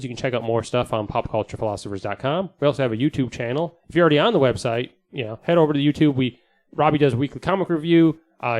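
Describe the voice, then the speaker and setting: A man co-hosting a podcast in casual chat, enthusiastic and sweary, with halting phrasing. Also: medium pitch, darkish tone. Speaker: podcast host.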